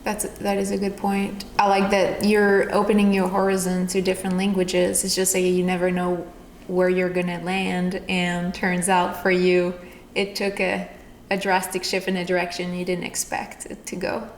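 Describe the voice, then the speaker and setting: A woman's voice: fairly low pitch, completely flat delivery, moderate pace. A woman hosting a podcast, interviewing conversationally with reflective follow-up questions. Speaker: podcast host. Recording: echoey room, faint background noise.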